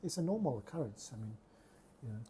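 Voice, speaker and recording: A Malaysian-accented man from Sarawak talks through a relaxed podcast conversation. His voice is husky and dark, and he often stumbles and repeats words as he gathers his thoughts. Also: mid-range voice, fast talker. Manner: hesitant, repeats words while searching for phrasing. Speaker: podcast host. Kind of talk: casual chat.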